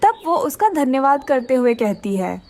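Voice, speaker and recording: A woman's voice: high-pitched, sing-song, moderate pace, darkish tone. A woman giving scripted narration for a children's cartoon story.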